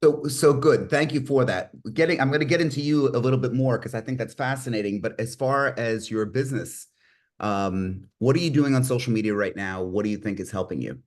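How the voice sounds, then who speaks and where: A man's voice: medium pitch, brisk. A middle-aged man hosting an online group meeting on a video call, reading statistics and joking with participants, often restarting his sentences. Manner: rambling, restarts, jokey with participants. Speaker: meeting host.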